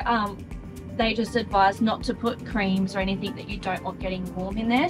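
Chatty Australian woman, upbeat vlog talk. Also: mid-range voice. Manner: chatty, upbeat, lots of ums and likes. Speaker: vlogger showing a caravan. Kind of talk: casual walk-through chat. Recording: faint music under the talk.

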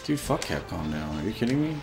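Young male let's-play host, lively up-and-down voice, excitable, cursing at the game. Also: fairly low pitch, fast, vocal fry, music in the background. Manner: excitable, swearing, frustrated outbursts. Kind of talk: casual gameplay commentary.

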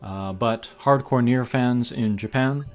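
A man's voice: mid-range voice, takes it slowly. A man hosting a gaming channel, giving casual, hesitant commentary as he thinks aloud over mobile game pulls. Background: game music.

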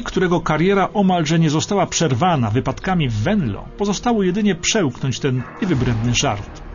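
A man presenting a scripted historical radio documentary as a suspenseful, dramatic storyteller. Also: on the high side. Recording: dull radio recording with clear music. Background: music.

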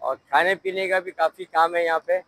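A man's voice: sits high, steady tone, a little fast, fairly bright tone, no room sound. A middle-aged man, chatting casually as he drives and points out the sights, recorded inside a car. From Pakistan. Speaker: vlogger. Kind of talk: casual driving tour narration. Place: inside a car on city streets. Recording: car and street noise behind.

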